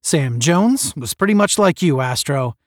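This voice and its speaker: A man voicing a seasoned male space officer who speaks forcefully and with pride to young cadets. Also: on the high side, lively intonation, unhurried, dry recording, studio quality, voice pushed up. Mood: earnest, proud. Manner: forceful, proud, instructive.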